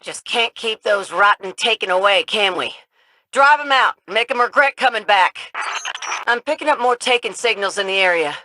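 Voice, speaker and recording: A folksy drawling game guide. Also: male voice, high voice, lively intonation, moderate pace, pushed and bright, no room sound, full character voice, poor audio. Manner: laid-back, folksy, drops g's. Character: folksy drawling man hosting a fireside event. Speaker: game character guiding the player.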